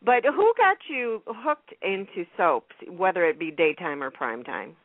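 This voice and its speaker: A woman's voice: on the low side, sing-song, unhurried, no echo. A woman casually chatting as the interviewer on a radio show. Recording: narrow, tinny phone-line sound.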